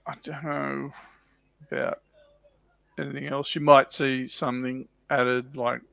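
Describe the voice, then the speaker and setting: A man co-hosting a livestream, musing tentatively and haltingly in casual hobby talk, with slightly muffled audio. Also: mid-range voice, level tone, creaky, a bit bright, no echo. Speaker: livestream co-host.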